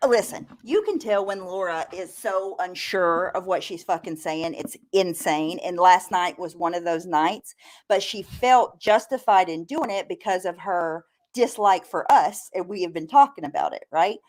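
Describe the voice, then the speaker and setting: A woman's voice: on the low side, moderate pace, a little mellow. A woman chatting casually on a live stream as a panelist, blunt and opinionated, swearing freely. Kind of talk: casual gossip chat.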